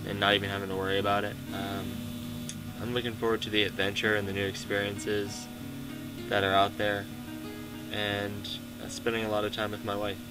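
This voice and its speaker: A young man answering interview questions outdoors by a lake, relaxed and full of "you know" fillers, with guitar music behind. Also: fairly low pitch, poor audio. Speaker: interviewee, hiker. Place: outdoors by a lake among trees.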